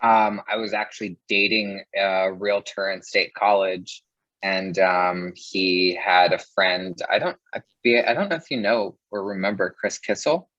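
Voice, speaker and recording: A man's voice: sits low, fairly even intonation, unhurried, a bit bright, no echo, highs cut off. A man who is an interview guest from the mortgage business, telling stories in a casual, chatty way and often saying "like". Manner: chatty, peppers speech with "like". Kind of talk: casual conversational storytelling.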